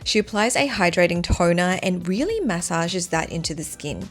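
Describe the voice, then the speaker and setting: A woman in her thirties narrating a makeup tutorial as the host, explaining each step clearly. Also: fairly low pitch, animated, normal speed, faint music behind. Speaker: beauty vlogger host.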